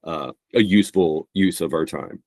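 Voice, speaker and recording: Man, middle-aged, low-pitched, sarcastic, swears, dry close podcast mic. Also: moderate pace, a little mellow, no room sound. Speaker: podcast co-host, comedian. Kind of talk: casual chat.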